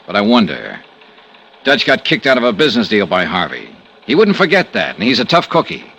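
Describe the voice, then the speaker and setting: An American actor playing a dogged, wry insurance investigator with a conscience in a 1950s radio detective drama. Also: male voice, lowish voice, wildly swooping pitch, fairly quick, vocal fry, bright, forceful tone, breathy edge, exaggerated voice. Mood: pondering. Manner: wry, insistent, hard-boiled delivery. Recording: noisy old radio drama recording.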